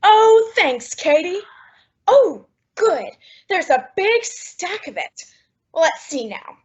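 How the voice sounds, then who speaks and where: A woman playing a dramatic, scheming housewife in an old-time radio sitcom, with exaggerated, drawn-out comic emphasis. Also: very high voice, sing-song, exaggerated voice. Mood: disappointed, wry. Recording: dull lo-fi old radio recording.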